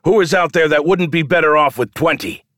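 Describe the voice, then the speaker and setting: A man voicing a brash, foul-mouthed male showman who loudly goads a crowd into wagers in an audiobook. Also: mid-range voice, animated, moderate pace, no room sound, clean studio sound, pitched above the performer's own voice, close to an everyday voice. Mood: goading. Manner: loud, goading, declamatory calling to a crowd. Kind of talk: audiobook character dialogue.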